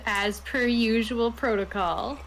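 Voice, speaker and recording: A woman's voice: fairly high pitch, takes it slowly, fairly bright tone. Easygoing, wry woman on noisy livestream audio. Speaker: co-host of a roleplaying stream. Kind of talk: casual livestream chat.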